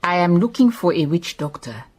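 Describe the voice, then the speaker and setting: A woman's voice: fairly low pitch, very animated, a little mellow, breathy edge. An actress playing a stubborn lady private detective in a radio drama, speaking formally and unhurriedly with a Southern African accent. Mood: determined. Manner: unhurried, formal, firm phrasing.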